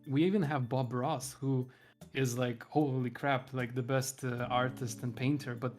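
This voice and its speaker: A man's voice: mid-range voice, fairly even intonation, unhurried, a little mellow, soft background music. A man hosting a stream, talking in a chatty, enthusiastic and rambling way. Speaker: streamer host. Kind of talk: casual online chat.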